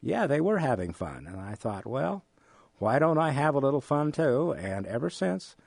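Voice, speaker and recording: An American man of mature years speaking as an economics professor and interview guest, explaining things in a deliberate, emphatic way. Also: mid-range voice, very animated, creaky, darkish tone, breathy edge, no room sound. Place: radio studio.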